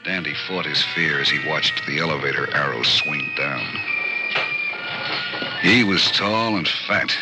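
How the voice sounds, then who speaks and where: An actor playing a grimly cool hard-boiled narrator of an old radio crime story, terse and dramatic. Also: male voice, extremely low pitch, unhurried, low-quality recording. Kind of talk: scripted noir narration, 1940s radio. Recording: old radio recording under loud music.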